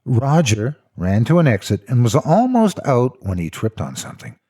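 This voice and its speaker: A man's voice: medium pitch, huge pitch swings, moderate pace, warm tone, breathy edge. A man narrating a scripted story in a theatrical, mock-serious storytelling style. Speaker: narrator of horror stories.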